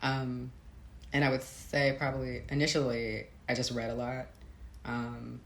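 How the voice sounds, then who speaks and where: Low-creaking American woman in a roomy space. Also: flat delivery, a little slow. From Midwestern United States. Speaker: author being interviewed. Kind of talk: conversational explanation. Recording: roomy echo, faint background noise.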